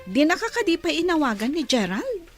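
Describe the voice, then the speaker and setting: A woman's voice: high voice, very animated, a little fast, faint music behind. An actress playing a thoughtful, curious young female friend in a radio drama, hesitant and musing. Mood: puzzled, musing.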